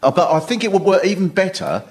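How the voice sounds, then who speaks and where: High, animated man riffing on a panel show. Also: quick pace. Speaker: comedy panellist. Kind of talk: comic ranting and riffing. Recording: echoey, very noisy live audience recording. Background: loud studio audience laughter.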